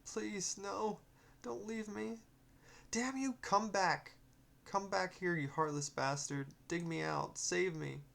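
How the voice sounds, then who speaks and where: A man's voice: high-pitched, fairly even intonation, slow pace, creaky, a bit bright, pitched above the performer's own voice, exaggerated voice. A man voicing a trapped, angry spirit in a read-aloud story, begging and demanding desperately. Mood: desperate pleading. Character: angry trapped ghost, desperate, pleading and cursing.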